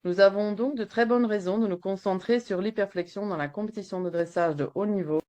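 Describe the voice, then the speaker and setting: A woman's voice: mid-range voice, a little fast, darkish tone. A woman with a Flemish accent, French not her first language, reading an earnest prepared presentation as a veterinarian in a webinar over a video call. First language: Flemish Dutch. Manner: earnest, reads steadily from a text.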